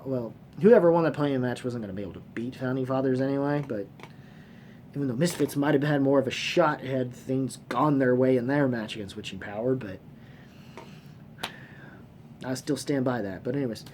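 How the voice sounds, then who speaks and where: A man hosting a casual fan vlog, talking off the cuff in a halting, rambling way, repeating phrases and swearing casually. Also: mid-range voice, a little mellow. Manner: casually profane. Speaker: host of a movie trivia fan channel. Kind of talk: casual unscripted vlog commentary. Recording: clearly noisy room sound.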